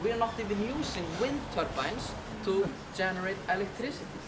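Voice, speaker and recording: A man with an Icelandic accent leads a walking tour, his fairly high voice chatty and full of questions for the group. He is heard outdoors on a city street, buried in loud traffic noise and recorded from some distance. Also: expressive, brisk. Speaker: tour guide. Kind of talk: guided walking tour talk.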